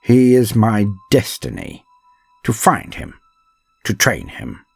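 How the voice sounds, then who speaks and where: Man acting a husky, low-voiced, grave inquisitor. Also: sing-song, no room sound, faint music behind, heavily acted. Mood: reverent, intent. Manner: solemn, theatrical, with deliberate pauses. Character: grave, proud inquisitor, last of a revered line, haunted. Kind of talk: dramatic first-person narration in audio drama.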